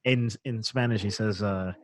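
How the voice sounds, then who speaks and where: A man's voice: on the low side, moderate pace. A man hosting a live-stream chat about manufacturing. He talks loosely and uses plenty of fillers. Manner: relaxed, reads out viewer comments, many fillers. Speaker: host of a sourcing show.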